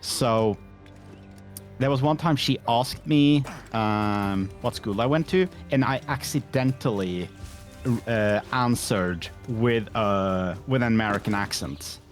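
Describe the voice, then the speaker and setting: A man's voice: lowish voice, takes it slowly, soft background music. A man, chatting casually on a game stream with many fillers and restarts. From Norway. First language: Norwegian.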